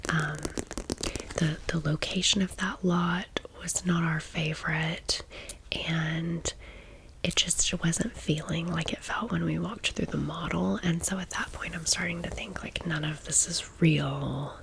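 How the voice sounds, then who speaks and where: A woman's voice: sits low, steady tone, unhurried, gravelly, noisy background. A woman in her thirties whispering a casual ASMR storytime in chatty, slangy millennial phrasing. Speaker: ASMR creator.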